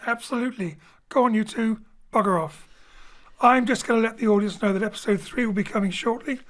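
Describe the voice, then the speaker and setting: A woman hosting for a theatre company, thanking the cast and reading announcements in a brisk, cheerful and jokey way. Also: on the high side. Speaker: host and director of the theatre company. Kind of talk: post-show announcements. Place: home studio.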